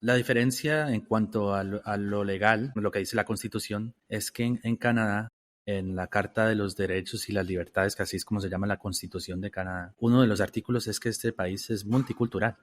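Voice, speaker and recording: Costa rican guy, low voice, thoughtful interview answers, restarts a lot. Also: steady tone. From Costa Rica. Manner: measured, reflective, frequent restarts. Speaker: interview guest.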